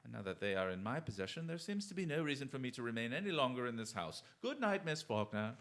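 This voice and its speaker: Male actor as gentleman detective: mid-pitched, formal, deliberate, chivalrous radio-drama delivery. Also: big pitch swings. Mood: smug, assured. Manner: formal, polite, theatrically deliberate. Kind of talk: stage-style radio drama acting.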